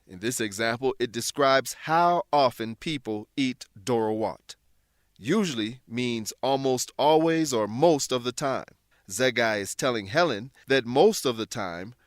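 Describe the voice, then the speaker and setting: A man with a mid-pitched voice presents a scripted English lesson. He explains terms in a deliberate, teacherly way. The recording is dry, with hardly any room sound. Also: a bit bright, dry recording. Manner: instructional, deliberate word-by-word explanations. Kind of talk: scripted educational narration.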